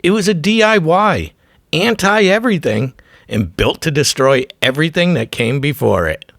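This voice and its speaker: A man's voice: fairly high pitch, very animated, moderate pace, vocal fry, fairly bright tone, no echo, clean studio sound. A man narrating a documentary from a script in short, emphatic, profane phrases.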